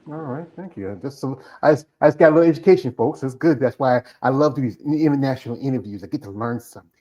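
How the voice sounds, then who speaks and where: A man's voice: mid-range voice, quick pace, warm tone. A man hosts a casual interview, asking long, rambling questions with plenty of enthusiasm. His voice sits in an ordinary middle range, and the audio is slightly dull and muffled. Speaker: interview host.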